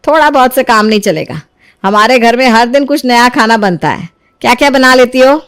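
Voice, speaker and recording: A woman's voice: fairly high pitch, moderate pace, fairly bright tone, smooth, lightly acted. Woman acting as middle-aged mother-in-law, strict, exacting, interrogating manner, cartoon story dialogue. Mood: probing.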